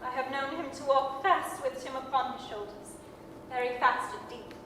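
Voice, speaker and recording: An actress playing a gentle, grieving mother, tender and wistful, in a staged 1930s radio drama. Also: high-pitched, normal speed, natural voice. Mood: wistful grief. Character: gentle, grieving working-class mother, bravely composed. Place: performing arts center stage. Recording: echoey hall from far off, noisy.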